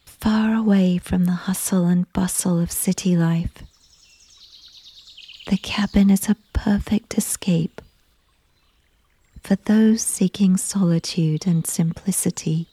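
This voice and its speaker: A woman softly narrating a guided sleep visualization in a breathy, often near-whispered voice with a gentle, unhurried, soothing delivery. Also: lowish voice, fairly even intonation, no echo. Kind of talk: slow scripted sleep visualization, soft and whispery.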